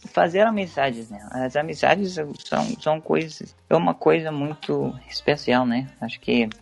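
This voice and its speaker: A young man from Venezuela, a native Spanish speaker, answering interview questions in an unhurried, hesitant way with many restarts. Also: on the high side, vocal fry. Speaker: interviewee, English teacher. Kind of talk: casual interview.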